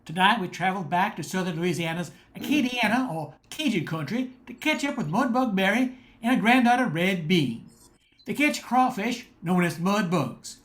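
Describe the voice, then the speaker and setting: An older woman narrates a radio play as its announcer. Her delivery is scripted and very animated, swinging widely around a middle pitch. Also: moderate pace, a little hiss or hum.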